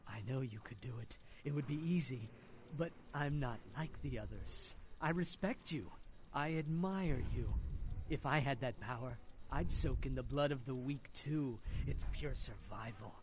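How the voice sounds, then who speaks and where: An actor playing a creepy, fawning blood bank clerk in a video game, insinuating and preachy, obsessed with the powerful. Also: male voice, mid-range voice, unhurried, slight background noise, close to an everyday voice. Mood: fawning, fervent. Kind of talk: video game character acting.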